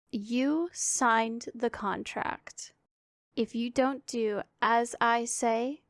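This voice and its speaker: A woman performs a powerful demon lady in an intimate ASMR roleplay. Her voice sits fairly high with gravelly fry, recorded very dry. She is imperious and teasing, choosing formal words deliberately, with care hidden under the menace. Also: no room sound. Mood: commanding, smug. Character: powerful female demon, haughty, teasing, secretly caring.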